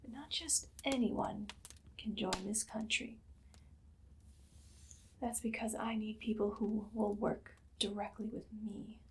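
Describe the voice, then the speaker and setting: A woman voicing an imperious, menacing evil queen in a whispered ASMR roleplay, speaking softly and deliberately with a condescending, commanding air. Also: mid-range voice, fairly even intonation, a little hiss or hum. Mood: smug, scheming. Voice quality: breathy, soft, much of it whispered.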